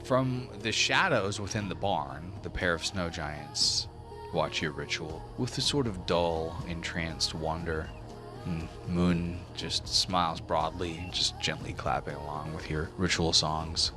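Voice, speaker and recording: A man's voice: low voice, a little slow, over music. A man narrating a tabletop fantasy game as game master, with dramatic, vivid scene-setting.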